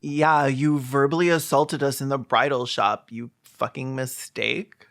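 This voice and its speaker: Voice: mid-range voice, steady tone, unhurried, fairly bright tone, a little hiss or hum. A commentator doing sarcastic comedic reactions, snarky and profane, with theatrical mockery. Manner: snarky, profane, theatrically mocking with mimicked quotes. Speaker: host of a video commentary show.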